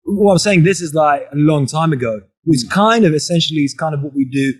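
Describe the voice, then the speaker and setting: A man's voice: on the high side, animated, fairly quick, breathy edge. A man from Greece, a DJ and label owner, chatting loosely as an interview guest and often saying "you know what I mean". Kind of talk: casual conversational interview.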